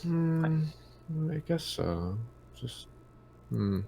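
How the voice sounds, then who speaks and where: A man's voice: a little high, very animated, drawn-out words, gravelly, dark tone, a little hiss or hum. A man speaking hesitantly and without much emphasis as a player in a tabletop roleplaying game. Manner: hesitant, understated. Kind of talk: casual tabletop roleplay chat.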